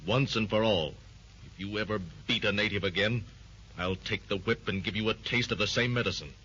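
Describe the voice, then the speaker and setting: A stern jungle hero in a 1930s radio serial, firm and commanding. His low, forceful voice comes through a dull old radio recording with a faint hiss. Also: fairly quick.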